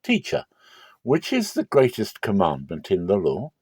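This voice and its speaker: A man voicing sly, flattering questioners who test a teacher in a dramatic scripture reading. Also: lowish voice, measured pace, breathy edge, no room sound, clean studio sound, exaggerated voice. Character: smooth-talking questioners slyly testing a teacher.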